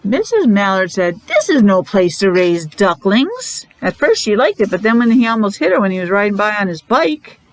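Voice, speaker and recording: A woman's voice: mid-range voice, sing-song, normal speed, hardly any pauses, dry recording, rough recording. A woman teacher reading a picture book aloud to young children, explaining it simply in short, repetitive asides. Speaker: preschool or special-needs teacher.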